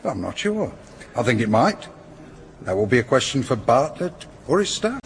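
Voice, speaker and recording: Opinionated, articulate university academic, noisy backdrop. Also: male voice, medium pitch, very animated, moderate pace. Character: opinionated male Oxford academic from Yorkshire. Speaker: university lecturer being questioned. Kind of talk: naturalistic radio drama acting.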